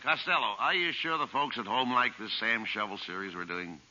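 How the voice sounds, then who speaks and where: A man playing straight man in a 1940s radio comedy duo, with a brisk, lively delivery. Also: mid-range voice, highs cut off, loud music behind the voice. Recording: crackly old radio transcription with loud clicks.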